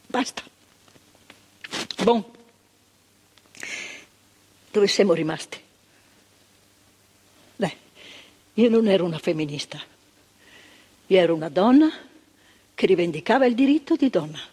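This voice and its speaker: An older actress, playing a retired psychiatric worker in a stage monologue, talking emphatically with repetitions and asides to the listener. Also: female voice, mid-range voice, expressive, takes it slowly, pauses often, warm tone, husky. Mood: reflective. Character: retired female psychiatric worker, proud, impassioned, reflective. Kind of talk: theatre monologue. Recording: stage recording with long reverb.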